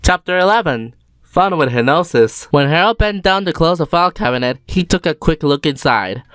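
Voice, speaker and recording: A woman's voice: low voice, animated, normal speed, no room sound. A woman reading scripted storybook narration as the narrator. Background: faint music.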